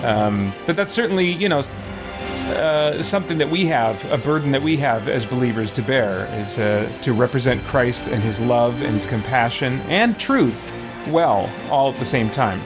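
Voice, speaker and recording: A man's voice: medium pitch, animated, normal speed. A man giving casual let's play commentary as a streamer, rambling as he thinks aloud. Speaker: game streamer. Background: game music.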